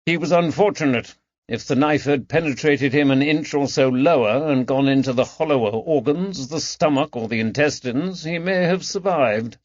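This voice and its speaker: A man voicing a brisk, sardonic gentleman surgeon in an audiobook, clipped, formal and condescending. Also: fairly high pitch, dry recording, highs cut off, pitched above the performer's own voice, natural voice. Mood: matter-of-fact. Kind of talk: audiobook character dialogue.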